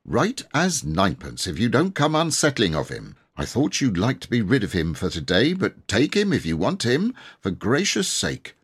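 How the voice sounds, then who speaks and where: A man's voice: mid-range voice, wildly swooping pitch, moderate pace, few pauses, slightly rough, pitched above the performer's own voice, heavily acted. Man putting on a cross, scolding servant woman. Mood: cross, brisk. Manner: scolding, ungrammatical servant speech. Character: cross, busy Edwardian nursemaid woman, rustic servant.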